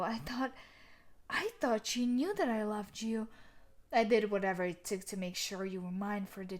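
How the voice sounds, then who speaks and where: A young woman playing a sadistic, possessive female yandere bully in a roleplay audio drama, with a taunting, domineering manner. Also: on the high side. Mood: cruel, boastful. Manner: taunting, domineering, with breathy sighs and soft laughs. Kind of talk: roleplay audio drama monologue addressed to the listener.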